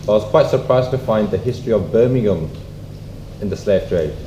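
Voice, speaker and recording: A man making measured, courteous comments at a community event in fairly formal wording. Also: lowish voice, animated, mellow. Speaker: event speaker. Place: echoey room at a public event. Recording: dull, echoey, noisy room recording.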